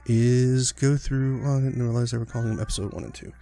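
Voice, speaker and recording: Gravelly-voiced man, getting over a cold. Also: mid-range voice, fast. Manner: rambling, filler-heavy, relaxed. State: recovering from illness, sniffly, clearing his throat. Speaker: gaming channel host. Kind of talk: casual let's-play commentary.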